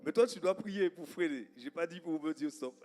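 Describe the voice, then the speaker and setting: A man with a Guadeloupean accent and Creole as his first language speaks to a church congregation before his sermon. His voice sits a little high for a man, and he talks in halting bursts full of fillers and restarts. Soft music plays faintly behind him. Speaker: guest preacher. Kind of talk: informal welcome before a sermon. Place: church service.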